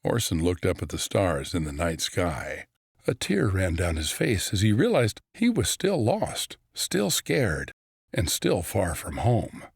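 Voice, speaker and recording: A man narrating a children's storybook in an expressive, scripted read. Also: low-pitched, a little slow, vocal fry, breathy edge, no room sound, studio quality. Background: background music.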